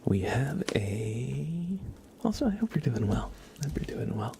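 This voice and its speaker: A man hosting an ASMR livestream, giving card readings in a gentle, halting way as he thinks aloud. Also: mid-range voice, sing-song, gravelly, slight background noise. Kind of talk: whispered ASMR, casual tarot card reading.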